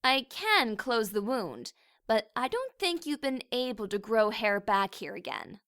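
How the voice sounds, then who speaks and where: A woman plays a cartoon unicorn nurse with a fairly high, lively voice. She speaks in a clinical, matter-of-fact way. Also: measured pace, exaggerated voice. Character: matter-of-fact female unicorn medic pony.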